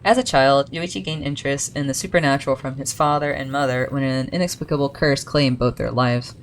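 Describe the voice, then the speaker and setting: A woman's voice: extremely low pitch, steady tone, fairly quick, a little hiss or hum. A woman gaming creator reading game lore aloud, breaking off for chatty asides about perks and pronunciation. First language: English.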